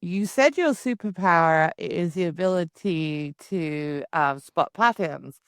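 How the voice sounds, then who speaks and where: A woman's voice: on the low side, expressive, slow, clean tone, dry recording, studio quality. A woman hosting a podcast interview, chatty and thinking aloud. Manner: chatty, talks through ideas aloud. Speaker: podcast host. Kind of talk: casual interview chat.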